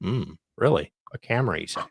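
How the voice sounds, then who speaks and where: A man's voice: on the low side, expressive, brisk, husky, no echo, clean studio sound. A man co-hosting a show, reading legal filings dramatically with sardonic, mock-theatrical asides. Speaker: co-host doing a dramatic reading.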